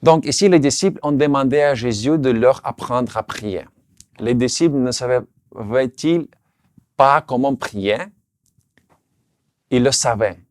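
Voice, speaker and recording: A man who speaks French, his language, giving an earnest, measured sermon. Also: medium pitch, no room sound, clean studio sound. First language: Russian. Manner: earnest, measured, slight restarts on words. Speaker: preacher.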